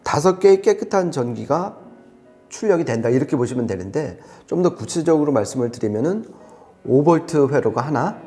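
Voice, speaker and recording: A man's voice: fairly high pitch, expressive, fairly quick, mellow, faint music behind. A man explaining products conversationally as an audio reviewer, with an emphatic manner.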